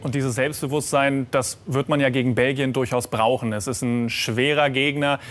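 A man presenting sports news and interviewing a coach in a formal, measured way. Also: medium pitch.

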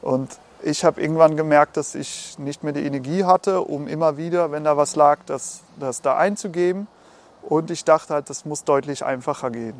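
A man's voice: on the high side, moderate pace. A man from the Netherlands who built an app talks casually, sometimes stumbling and restarting his words. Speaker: app developer. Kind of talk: interview and street chat.

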